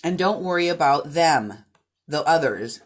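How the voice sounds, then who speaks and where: A woman with a lowish voice works as a live interpreter, speaking at an unhurried, slow pace. She stops and restarts her phrases often as she follows the speaker. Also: animated. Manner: halting, with frequent restarts.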